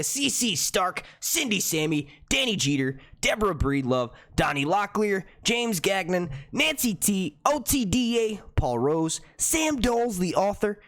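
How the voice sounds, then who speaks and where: Loud, lively young man hosting a stream. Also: a little high. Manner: loud projecting voice, chatty and profane. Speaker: YouTube live stream host. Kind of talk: casual live stream chat. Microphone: desk mic.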